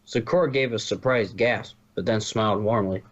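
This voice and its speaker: A man's voice: fairly low pitch, normal speed, muffled recording. A man reading a story aloud as narrator, now and then stumbling and correcting himself. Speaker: narrator, fan fiction reader.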